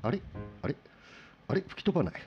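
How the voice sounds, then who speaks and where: A man playing a hungry, boastful, blustering wolf with rough, cocky speech in a children's radio drama. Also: brisk, highs cut off, loud music behind the voice, lightly acted. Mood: baffled, frustrated.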